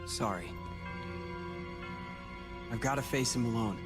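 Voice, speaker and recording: A man's voice: medium pitch, slow, close to an everyday voice. An actor playing a determined, brooding teenage boy hero in a video game, with an earnest, guarded intensity. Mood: grim resolve. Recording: long reverb, game music behind.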